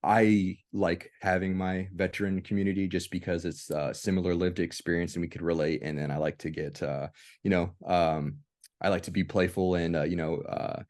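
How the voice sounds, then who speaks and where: A man hosting a casual, reflective group discussion, speaking haltingly and often circling back to rephrase himself. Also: sits low, level tone, a little mellow. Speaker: host of a veterans' discussion group.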